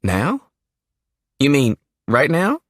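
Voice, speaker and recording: A man's voice: medium pitch, huge pitch swings, very slow, a bit bright, no room sound, studio quality, pitched above the performer's own voice, heavily acted. A man voicing a shy, nervous young man who works at his father's funeral home. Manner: jumpy, rural, keeps deferring to Daddy.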